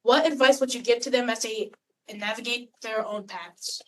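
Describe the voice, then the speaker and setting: A woman's voice: a little high, steady tone, normal speed, a bit bright. A female-sounding student putting a prepared question, away from the microphone. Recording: distant from the microphone.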